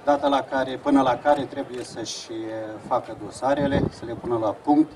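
A man speaking haltingly as an official making announcements in a public speech at an outdoor event. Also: mid-range voice, fairly even intonation. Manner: halting delivery. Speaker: chief inspector. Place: open-air fairground stage. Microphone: distant camera, speech over loudspeakers. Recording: very noisy distant outdoor event recording.

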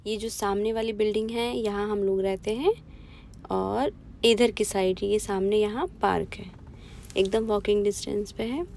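A woman's voice: mid-range voice, fairly even intonation, moderate pace, gravelly. A woman casually chatting to camera in a walking vlog, pointing out places around her neighborhood as she goes. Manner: chatty, plainly pointing things out while walking. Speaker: vlogger. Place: outdoors on city streets. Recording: noisy street with traffic.

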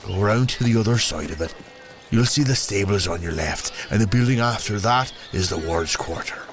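An actor playing a gruffly kind man in a fantasy audio drama, giving instructions in a brusque manner. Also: on the low side, moderate pace, noisy background, natural voice. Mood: brisk, instructing. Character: gruffly kind working man helping a young newcomer.

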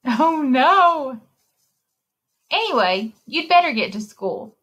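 A woman in her thirties reading a picture book aloud to children in an expressive, exclaiming way, speaking directly to her young listeners, with a slightly husky voice. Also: high voice, slow. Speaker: children's librarian and story time reader. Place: indoor room, recorded screen share with webcam.

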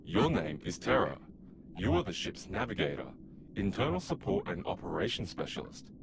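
A man voicing a formal, measured, impersonal starship computer in an audio drama. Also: low-pitched, big pitch swings, creaky, noisy background, heavily acted. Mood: calm, instructive. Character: calm male starship control computer, procedural and commanding. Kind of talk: audio drama, system announcements.

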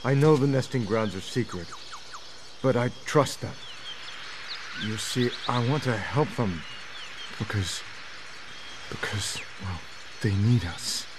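A man playing an earnest young wild man in a video game, halting and pleading as he speaks. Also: lowish voice, noisy background. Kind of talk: video game cutscene acting. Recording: clear jungle ambience behind the voice.

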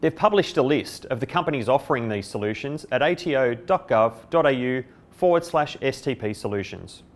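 A man's voice: medium pitch, lively intonation, fairly quick, few pauses. A middle-aged man with an Australian accent, delivering a scripted explainer to camera as a company presenter. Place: indoor office by a window. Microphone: lapel mic.

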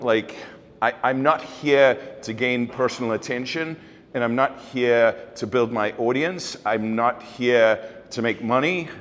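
A man's voice: medium pitch, fairly bright tone. Man, South African accent, middle-aged, certain and blunt, keynote talk, reverberant open room. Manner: certain, blunt, many fillers and restarts. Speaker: keynote speaker. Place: large open office event space.

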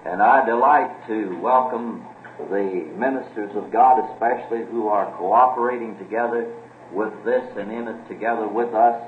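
A man's voice: fairly low pitch, steady tone, normal speed. A man speaking as a pastor, addressing his church informally in an earnest, wordy, deferential way. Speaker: pastor introducing a guest preacher. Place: church meeting room with congregation. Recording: muffled noisy old tape, echoey hall.

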